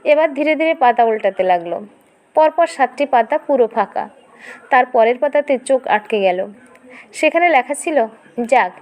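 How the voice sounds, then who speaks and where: A woman reading a scripted dramatic story as narrator, in an expressive, emotive storytelling manner. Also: sits high, normal speed, long pauses.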